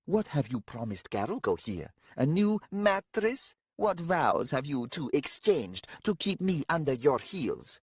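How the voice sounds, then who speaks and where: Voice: medium pitch, sing-song, a little slow, few pauses, breathy edge, no echo, clean studio sound, voice pushed up, exaggerated voice. A man voicing a proud, touchy young male warrior leader who declaims in indignant, archaic speech in a story.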